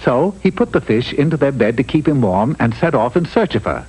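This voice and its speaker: Guy narrating a funny fairy tale cartoon, bit raspy, really animated, old tv sound. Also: mid-range voice, fairly quick, rough recording. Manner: theatrical storyteller with emphatic repetition.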